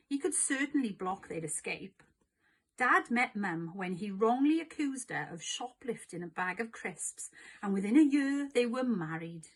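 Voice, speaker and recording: A woman narrating a children's storybook aloud in an expressive, scripted read-along style. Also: fairly high pitch, slightly rough, rough recording.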